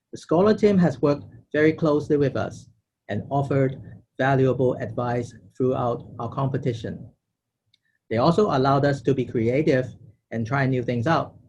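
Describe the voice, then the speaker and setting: A man's voice: mid-range voice, a little mellow, rough recording. Man giving a formal speech, faint background noise. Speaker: organization president giving closing remarks. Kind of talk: prepared thank-you speech.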